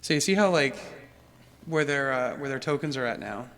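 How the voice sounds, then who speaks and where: A man's voice: mid-range voice, normal speed, fairly bright tone. A man chatting casually and slangily while hosting and teaching a tabletop game. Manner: informal, slangy, calls people man and dude. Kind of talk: casual chat over a board game. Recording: background noise at a game table.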